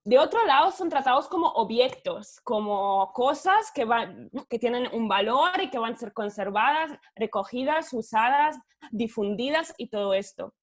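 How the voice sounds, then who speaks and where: A woman's voice: on the high side, lively intonation, moderate pace. A woman whose first language is Italian, giving an academic panel talk in Spanish over a video call, hesitant and searching for words, with many fillers. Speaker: guest speaker, author.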